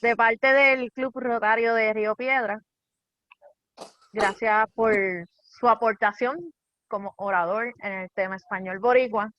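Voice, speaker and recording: A woman's voice: fairly high pitch, fairly even intonation, measured pace, a bit bright. A woman with a Puerto Rican accent, moderating a club meeting on a video call, giving formal, courteous thanks. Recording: dry dull call audio.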